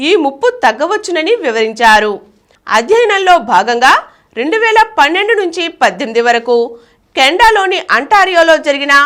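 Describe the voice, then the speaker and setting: A woman's voice: high-pitched, pushed and bright. A woman reading a scripted news bulletin as the news reader.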